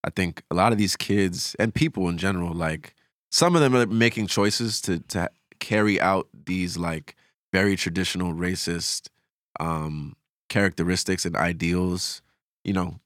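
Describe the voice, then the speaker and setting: A man's voice: low voice, unhurried, a bit bright, no room sound, studio quality. A man talking casually as a podcast guest, reflective and slangy as he shares opinions.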